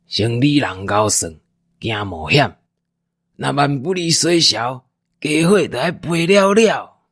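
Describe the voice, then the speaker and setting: A man's voice: mid-range voice, animated, slow, fairly bright tone, husky, no echo, clean studio sound, voice pushed up, heavily acted. A man voicing a weary, helpful old village man in a Taiwanese-language radio drama, talking in a folksy, resigned way. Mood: cautioning, matter-of-fact.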